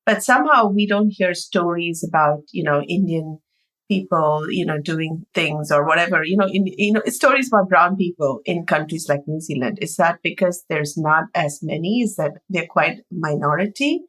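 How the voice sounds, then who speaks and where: A woman's voice: fairly low pitch, animated, moderate pace, a bit bright, low-quality recording. A woman hosting a podcast, chatty, asking long rambling questions. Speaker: podcast host. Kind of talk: casual interview chat.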